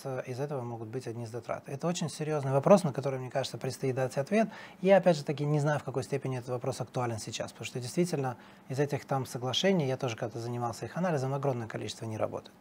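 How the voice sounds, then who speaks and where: A man's voice: mid-range voice, quick pace, gravelly, darkish tone, a little hiss or hum. A middle-aged man, a political analyst guest in a TV studio interview, talking emphatically with fillers and restarts, on a lapel mic.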